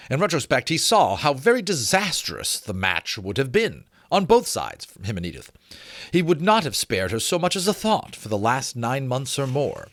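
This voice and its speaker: A man reading a novel aloud as an expressive, dramatic narrator. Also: mid-range voice, dry recording, studio quality. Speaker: audiobook reader.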